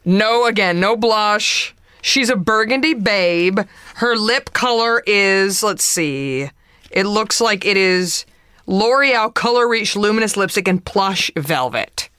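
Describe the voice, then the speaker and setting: A woman with a deep voice hosting a podcast in casual, chatty, sweary comedic style. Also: animated, takes it slowly, bright, forceful tone, smooth, dry recording, studio quality. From American South. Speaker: podcast host.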